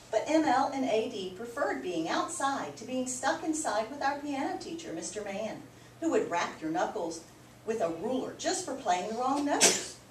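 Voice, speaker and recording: A woman reading a picture book aloud to children like a teacher, with expressive, emphatic storytelling. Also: fairly high pitch. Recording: roomy, distant mic, clearly noisy background.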